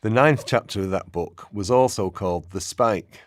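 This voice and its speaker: A man acting as a sober narrator, giving measured, expository documentary-style narration in a radio play. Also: lowish voice, no echo, clean studio sound, lightly acted. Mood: sober, explanatory. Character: sober male historical narrator.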